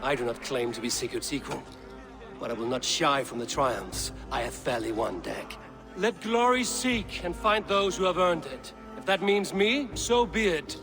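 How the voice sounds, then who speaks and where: Proud Viking leader, echoing hall. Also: male voice, fairly high pitch, animated. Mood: firm, annoyed. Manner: formal, measured oratory. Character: proud, steady male Viking raider and clan leader. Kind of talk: video game cutscene acting. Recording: long hall reverb, music behind.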